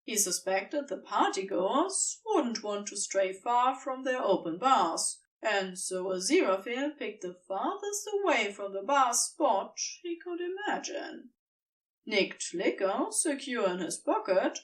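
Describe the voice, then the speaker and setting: A woman narrating scripted fiction as an audiobook reader, with expressive storytelling and deliberate phrasing. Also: on the high side, far from the mic, rough recording.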